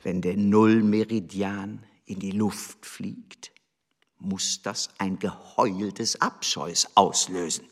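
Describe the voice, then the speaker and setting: An actor playing a cynical, smug, imperious embassy secretary in a radio drama, with a theatrical, condescending delivery that draws out key words. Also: male voice, fairly low pitch, steady tone. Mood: smug insistence. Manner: theatrical, condescending, drawn-out emphasis.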